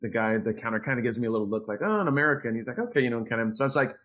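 Male podcast host, quick talker, informal and gushing, loose conversational interview style. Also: medium pitch, fairly bright tone. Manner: effusive, informal, wordy. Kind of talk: casual interview chat.

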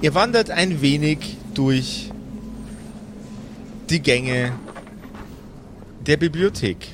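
A male game master narrates an improvised fantasy tale. He speaks very slowly and deliberately, pausing between phrases, with faint noise behind him. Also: a little high, expressive. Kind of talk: improvised narration of a tabletop audio play.

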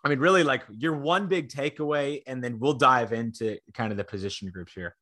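Man, podcast host, crisp forward tone, dry close sound, easygoing rambling chat. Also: mid-range voice, fairly quick, fairly bright tone, no room sound. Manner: laid-back, rambling, self-correcting. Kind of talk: casual sports podcast chat.

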